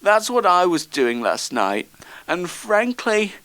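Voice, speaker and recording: A man's voice: sits high, big pitch swings, takes it slowly, fairly bright tone, no echo, heavily acted. A man voicing an exhausted, hungover, grumpy Father Christmas in a comedy phone sketch, groaning and complaining. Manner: groaning, whining, weary complaining.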